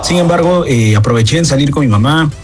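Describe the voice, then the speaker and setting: A man's voice: fairly high pitch, animated, quick pace. Chatty Lima man over faint music. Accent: Peruvian, Lima. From Peru. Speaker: listener sending a message. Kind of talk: casual personal message.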